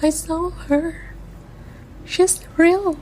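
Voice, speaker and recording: A woman's voice: very high voice, steady tone, very slow, darkish tone, slight background noise, heavily acted, poor audio. A young woman playing an anxious, urgent adult guardian in a student radio drama. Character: worried adult woman, Annabelle.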